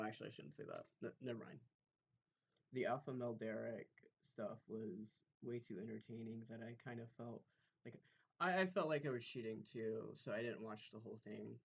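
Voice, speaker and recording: A man's voice: mid-range voice, measured pace, gravelly, long pauses, fairly bright tone, husky, highs cut off. A man streaming, talking casually as if to a chat audience.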